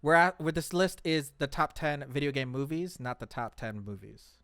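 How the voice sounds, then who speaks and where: A man's voice: fairly high pitch, lively intonation, moderate pace, a bit bright, no room sound. A man hosting a casual group discussion on a podcast, talking in a conversational way. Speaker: podcast host.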